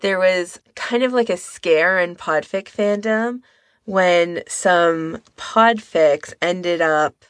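A woman's voice: fairly low pitch, slow, no room sound, clean studio sound. A woman co-hosting a podcast, talking in a casual, conversational style. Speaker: podcast co-host. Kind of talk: casual discussion.

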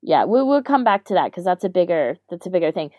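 A woman's voice: on the low side, big pitch swings, fast talker, mellow, no room sound, clean studio sound. A woman co-hosting a podcast in a casual discussion, thinking aloud in an informal way. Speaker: podcast co-host.

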